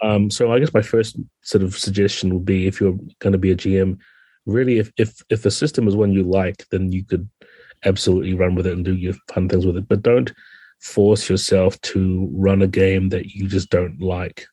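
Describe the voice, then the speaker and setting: Low, mellow New Zealand man. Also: flat delivery, moderate pace, slightly rough, no echo. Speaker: podcast guest, game designer. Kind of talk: relaxed interview conversation.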